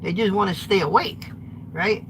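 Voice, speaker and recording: An American man with a high-pitched voice, a trucker vlogger chatting live with viewers from his truck cab in a blunt, rambling way. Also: moderate pace, a little hiss or hum. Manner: rambling, blunt, restarts often. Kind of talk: live-streamed chat with viewers.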